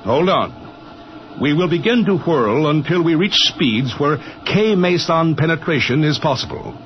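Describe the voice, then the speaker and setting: Animated, precise radio-play physicist. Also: male voice, fairly high pitch, measured pace. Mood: urgent. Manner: precise, instructive. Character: brisk, courteous, businesslike male physicist running a time machine. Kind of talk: 1950s radio drama acting. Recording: dull, noisy old radio recording.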